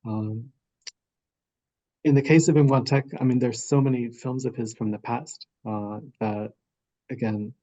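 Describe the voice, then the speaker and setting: A man answering as a festival programmer on an online panel, unhurried and hesitant, filling pauses with ums and uhs. His voice is mellow and relaxed in tone, and the video-call audio comes through a little dull and lo-fi. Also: medium pitch. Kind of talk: casual panel discussion over video call. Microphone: video call microphone.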